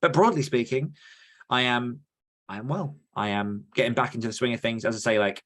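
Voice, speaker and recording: A man's voice: medium pitch, brisk, a bit bright. A man co-hosting a podcast in casual chat, talking in a chatty, rambling, self-deprecating way. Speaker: podcast co-host and author.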